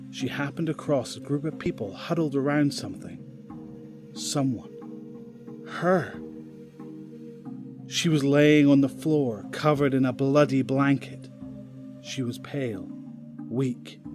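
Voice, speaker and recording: Male narrator over music. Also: mid-range voice. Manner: measured, storytelling. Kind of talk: scripted narration.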